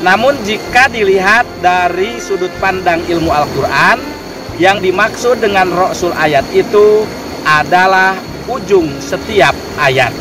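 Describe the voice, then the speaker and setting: A man giving an explanatory religious lecture in a measured, didactic way, phrase by phrase. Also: high-pitched. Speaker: lecturer on Quranic studies. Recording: distant mic with music behind. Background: clear background music.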